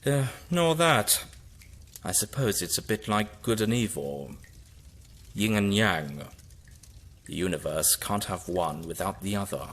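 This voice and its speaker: An actor playing a wary, inquisitive time-travelling Doctor with dry irony and a halting, musing manner in an audio drama. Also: male voice, fairly low pitch, animated, fairly bright tone. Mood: earnest. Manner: halting, musing, with wry asides.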